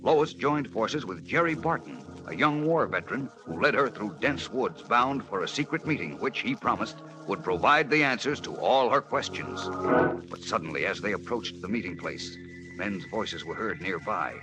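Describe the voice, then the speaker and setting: A man's voice: on the low side, expressive, moderate pace, music in the background, poor audio. Theatrical old-time radio announcer, man. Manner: theatrical, suspenseful. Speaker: announcer-narrator. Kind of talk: 1940s radio dramatic narration. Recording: vintage radio broadcast recording.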